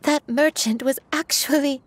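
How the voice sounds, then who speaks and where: A woman playing a gentle, remorseful crane-born woman in a game, recounting her past in a soft, wistful, confessional way. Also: sits high, steady tone, unhurried, no echo. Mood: shocked realization. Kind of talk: dramatic game voice acting, storytelling.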